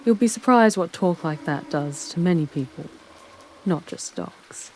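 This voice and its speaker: Demon guide for ASMR drama, played by a woman: female, deep and mellow, haughty, formal, sultry. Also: expressive, unhurried, slight background noise. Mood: coaxing, amused. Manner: haughty, theatrical, formal phrasing. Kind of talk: ASMR roleplay, dramatic monologue to the listener.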